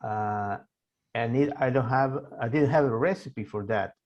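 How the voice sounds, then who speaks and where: A man in his sixties from Argentina, a native Spanish speaker, answering interview questions in a halting way with many fillers and restarts. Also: mid-range voice, a little mellow, dull audio. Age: 60s. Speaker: guest author. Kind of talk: casual interview.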